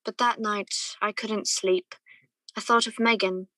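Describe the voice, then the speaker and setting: A wistful young gentleman played by a woman tells his love story in a radio play. The voice is bright and forward, recorded close and dry. He sounds earnest and torn. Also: medium pitch, steady tone, unhurried, dry recording, soft background music. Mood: troubled, pensive. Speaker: student or guest actor in a radio play. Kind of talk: radio play acting with first-person narration.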